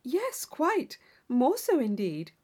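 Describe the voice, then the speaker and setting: A woman voicing a naive, eager young country gentlewoman in a classic novel audiobook, earnest and enthusiastic. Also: high voice, wildly swooping pitch, takes it slowly, voice pushed up, exaggerated voice. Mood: keen, sincere.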